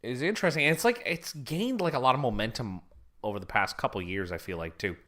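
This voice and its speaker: A young man co-hosts a casual podcast, rambling through his sign-off with many restarts and easy laughs. His voice is bright and swings widely in pitch. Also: lively intonation, fast talker. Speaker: podcast co-host.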